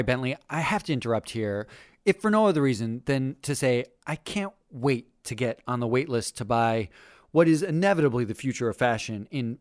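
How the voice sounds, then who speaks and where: A man's voice: medium pitch, dry recording, studio quality. A man with an American accent hosting a podcast in a playful, emphatic, conversational but scripted way. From United States. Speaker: podcast host.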